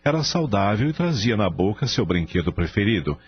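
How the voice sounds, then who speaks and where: Low, animated male narrator. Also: a little fast. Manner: expressive storytelling. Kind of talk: scripted radio drama narration. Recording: dull lo-fi audio, faint music.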